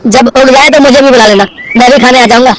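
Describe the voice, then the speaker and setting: A man playing a kind, earnest young poor villager in an animated moral story, eager in manner. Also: extremely high pitch, fast talker, very noisy. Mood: playful.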